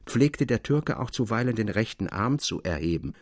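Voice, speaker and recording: Husky, thoughtful literary storyteller. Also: male voice, lowish voice, no room sound, clean studio sound. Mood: composed, intriguing. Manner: measured, formal, expressive. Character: thoughtful literary storyteller relishing eerie tales. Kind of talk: scripted literary narration.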